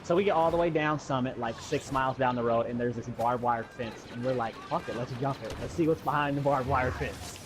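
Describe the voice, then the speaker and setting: A man's voice: mid-range voice, a little fast, few pauses, noisy background. An American man casually telling a personal story, acting out dialogue in slangy, sweary speech. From Muskegon, Michigan, USA. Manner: animated retelling with acted-out dialogue and slangy swearing.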